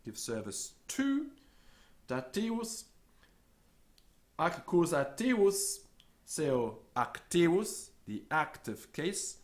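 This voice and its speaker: A man's voice: on the high side, big pitch swings, slow pace, long pauses. A man teaching a Latin lesson, who says each Latin word, repeats it and then explains it in English. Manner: acts out little scenes in a raised voice. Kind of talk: slow spoken language lesson.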